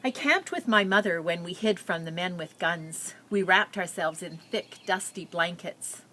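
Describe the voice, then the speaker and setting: A woman reading a picture book aloud, telling the story and pausing with simple explanatory asides for young listeners. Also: lowish voice, sharp tone.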